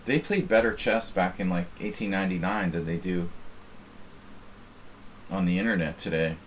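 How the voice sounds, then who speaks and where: A man's voice: low-pitched, normal speed, a little hiss or hum. An American man casually chatting while streaming online chess, rambling and self-deprecating as he reads the chat. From United States.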